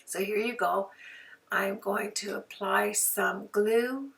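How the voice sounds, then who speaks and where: Lady with a hoarse voice doing a craft how-to, folksy chatter, kinda far from the mic. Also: medium pitch, fairly even intonation, slow, a bit bright, faint music behind. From Canada. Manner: chatty, folksy, homey phrases. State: hoarse from flu. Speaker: craft tutorial host. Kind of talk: card-making tutorial narration.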